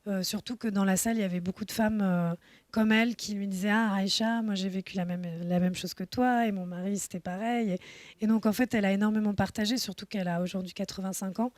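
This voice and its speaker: Woman director in her 30s, reflective panel guest, dry close mic, frequent pauses. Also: mid-range voice, steady tone, a little mellow, no room sound. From France. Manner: thoughtful, hesitant with many fillers. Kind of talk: panel discussion. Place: festival panel.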